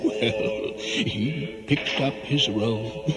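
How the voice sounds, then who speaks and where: A man narrating a scripted radio play in a dramatic, deliberate storytelling manner. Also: mid-range voice, over loud music.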